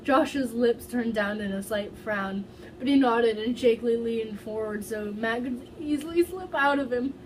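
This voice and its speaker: High-voiced woman reading fan fiction aloud. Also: slight background noise, poor audio. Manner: giggly, stumbles over words, breaks into asides. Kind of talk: dramatic amateur read-aloud with casual asides.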